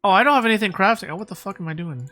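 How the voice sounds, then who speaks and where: A woman's voice: medium pitch, lively intonation, very fast, fairly bright tone. A woman livestreaming a game, chatting casually and swearing as she mutters to herself, with game music behind. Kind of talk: casual, sweary livestream chat while playing.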